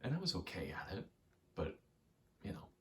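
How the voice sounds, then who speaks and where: Very low-voiced man rambling softly in ASMR. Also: wildly swooping pitch, a little hiss or hum. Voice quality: soft, low, often slipping into whisper. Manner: halting, self-deprecating, casually sweary. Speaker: ASMR creator. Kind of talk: rambling ASMR, casual personal chat while gaming.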